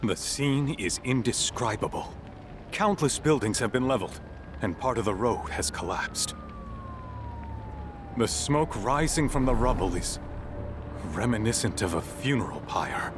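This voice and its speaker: An actor as an animated, dramatic male news reporter. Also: mid-range voice, measured pace, pauses often, noisy background, natural voice. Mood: grave. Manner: formal, dramatic, emphatic. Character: earnest male TV news reporter at a disaster scene. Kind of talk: live news report, scripted game acting. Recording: loud music behind the voice.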